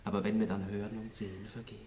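A man's voice: on the low side, flat delivery, fast, darkish tone, lightly acted. An impetuous, boyish young aristocrat in love, played by a man, speaks with rapturous stage diction. It is a 1960s radio play, heard at some distance from the microphone in a reverberant room, with faint hiss behind. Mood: rapturous, tender. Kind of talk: 1960s German-language radio play acting. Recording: roomy, distant, hissy old radio recording.

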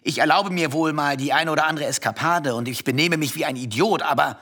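Arrogant rich husband in a radio drama, bright forceful voice, kinda high, bossy n scornful. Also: male voice, fairly quick. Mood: pleading, insistent. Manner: domineering, contemptuous. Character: arrogant, possessive wealthy husband, scornful and domineering. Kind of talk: German radio play acting.

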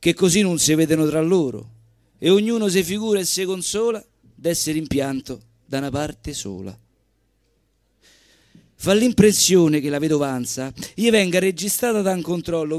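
A man with a Roman accent giving an expressive, theatrical live recital of comic verse in dialect. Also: high voice, no echo, clean studio sound. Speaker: stage performer. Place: live stage at a social centre event. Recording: live evening show recording with music.

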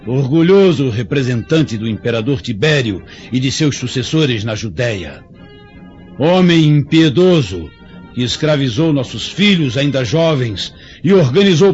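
Ator como líder rebelde vingativo, voz bem variada. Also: male voice, medium pitch, darkish tone, close to an everyday voice. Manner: grandiloquent, theatrical declamation. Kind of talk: radio drama acting. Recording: dull radio-drama recording, faint music behind.

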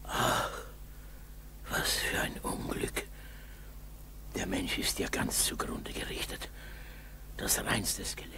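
A man's voice: sits low, steady tone, takes it slowly, creaky, pauses often, whispered, heavily acted. An older man playing a plain-spoken, grumbling village grandfather in a 1960s German radio drama, muttering and exclaiming. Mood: shocked pity. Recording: noisy old 1960s radio recording.

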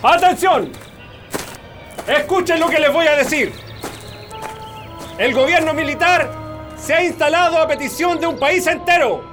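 A man's voice: very high-pitched, a little slow, pauses often. A man playing a stern military officer in a radio drama, addressing prisoners in a formal, commanding, declamatory way. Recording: loud music under the voice. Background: loud music.

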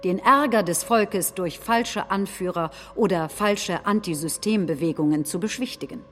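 A woman acting in documentary theatre, delivering nationalist political speeches in a deliberate, declamatory manner. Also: mid-range voice, fairly bright tone, soft background music, close to an everyday voice. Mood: solemn, resolute. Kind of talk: staged reading of political speeches.

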